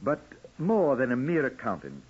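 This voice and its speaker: An actor playing a kindly, retired old judge in 1940s radio drama style, courteous and deliberate, with hesitant phrasing. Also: male voice, mid-range voice, wildly swooping pitch, husky. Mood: measured, explanatory. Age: older. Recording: dull old radio recording.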